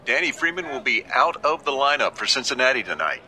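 An actor playing a genial television baseball commentator in an audio drama. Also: male voice, medium pitch, big pitch swings, brisk, bright, forceful tone, a little hiss or hum, poor audio. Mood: genial, sympathetic. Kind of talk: sports commentary.

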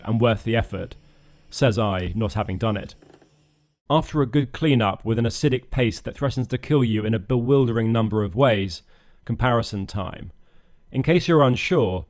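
A man reads a scripted voice-over for his own build project, steady in pitch and even in tone. His humour is dry and self-deprecating. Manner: dry, self-mocking wit. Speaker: channel host narrating a boat build.

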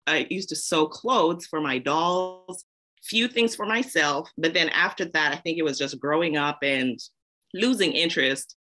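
A woman's voice: sits low, a little slow, pushed and bright. A woman from Zambia, a podcast guest, telling her story casually and laughing often.

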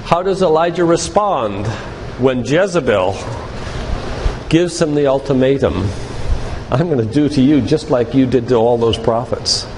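A man's voice: mid-range voice, expressive, unhurried. An older man giving a sermon-like lecture, retelling Bible stories in a didactic way and addressing listeners directly. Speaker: physician giving a guest talk at a church. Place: large room, church. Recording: reverberant hall with clear background noise.

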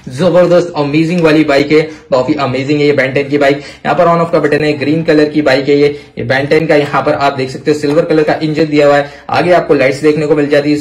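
A man hosting a toy showcase, talking to viewers with excitable, repetitive exclamations. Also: fairly high pitch. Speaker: toy review video host. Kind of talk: enthusiastic toy showcase commentary addressed to viewers. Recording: clear background noise with clicks.

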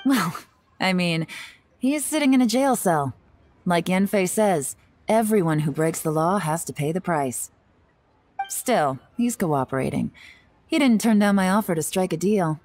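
A woman voicing a shrewd, composed young female intelligence agent in a video game, speaking in a measured, confident way. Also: fairly low pitch, animated, a little mellow, a little hiss or hum. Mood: composed, sly. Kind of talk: video game dialogue acting. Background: game music.

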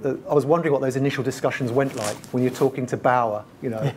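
Lively man moderating a panel. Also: mid-range voice, slight background noise. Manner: lively, quick asides, acts out quotes. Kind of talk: conference panel discussion. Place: conference stage, indoors.

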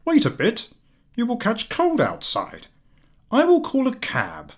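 Man putting on a high-pitched, fussing husband. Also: huge pitch swings, measured pace, exaggerated voice. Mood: concerned. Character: bewildered, fussing Parisian husband, a modest clerk.

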